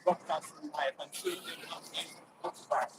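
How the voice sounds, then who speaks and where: A man hosting a casual live street chat in simple, halting phrases. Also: fairly high pitch, little pitch movement. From Nigeria. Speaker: live streamer, driving tips host. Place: outdoors on a motorway bridge. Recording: loud outdoor traffic noise. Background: passing vehicles, wind and road noise.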